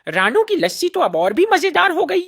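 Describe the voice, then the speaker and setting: Woman voicing a dramatic cartoon bird, swooping pitch. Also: sing-song, moderate pace, a bit bright, no room sound, studio quality, full character voice. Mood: delighted. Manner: dramatic, complaining. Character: thirsty, grumbling customer bird in a cartoon. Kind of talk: Hindi moral-story cartoon dialogue.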